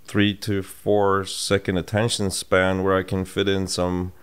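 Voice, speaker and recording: A middle-aged man with a Swedish accent, co-hosting a podcast in casual conversation, reflective and halting with restarts, recorded on a studio mic in a home room. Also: low voice, monotone, clear, resonant tone. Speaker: podcast co-host. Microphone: boom-mounted studio mic.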